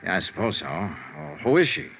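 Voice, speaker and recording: An actor playing a cool, terse insurance investigator in a vintage radio noir, speaking and narrating in a dry, matter-of-fact way. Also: male voice, sits low, big pitch swings, moderate pace, a bit bright, highs cut off, slight background noise, heavily acted. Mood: persistent. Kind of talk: 1950s radio noir acting and first-person narration. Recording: vintage radio recording, band-limited, music behind.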